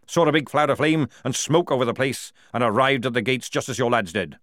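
A man voicing a fierce, loyal old male army sergeant who barks his words in a forceful parade-ground manner. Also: medium pitch, a little fast, dry recording, clean studio sound, voice pushed up, natural voice. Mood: gruff, insistent.

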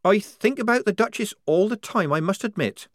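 A man's voice: high-pitched, lively intonation, normal speed, no room sound, clean studio sound, pitched above the performer's own voice. A man reading an audiobook, voicing a cautious, practical young woman who is disguised as a soldier. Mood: guarded. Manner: cautious, guarded.

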